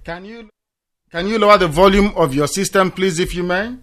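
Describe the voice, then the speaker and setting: A man's voice: sits high, fairly bright tone. Formal male call-in radio host. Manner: formal, measured questioning.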